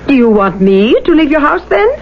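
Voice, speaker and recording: An actress playing a sharp, scornful, practical sister in a 1970s radio drama, speaking in a stern, theatrical way. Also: female voice, high-pitched, very animated, moderate pace. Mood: scornful, indignant. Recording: dull, noisy old radio recording.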